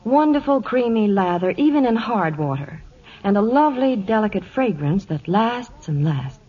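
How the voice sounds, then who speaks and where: A woman reporting in scripted 1940s radio chat, with polished, animated patter. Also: medium pitch, moderate pace, slightly rough. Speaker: Hollywood reporter and sponsor spokeswoman. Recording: dull vintage 1940s radio recording.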